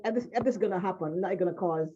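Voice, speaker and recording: A woman's voice: lowish voice, racing, dark tone. A woman in a group discussion making a practical suggestion, direct and repeating herself to land the point. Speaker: meeting participant. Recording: dull lo-fi recording.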